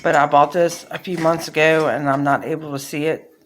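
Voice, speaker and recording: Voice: mid-range voice, level tone, fairly quick, a bit bright, slight background noise. A person talking through an unscripted product review.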